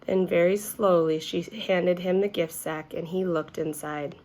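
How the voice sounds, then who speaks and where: A woman's voice: lowish voice, fairly even intonation, a little slow, a little mellow. A woman reading a children's story aloud expressively, as if to young listeners. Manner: addresses young listeners with questions.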